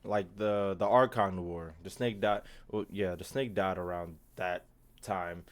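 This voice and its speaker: A man's voice: low voice, fairly even intonation, a little slow. A young man giving casual, rambling livestream gaming commentary, slangy and full of swearing. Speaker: game streamer.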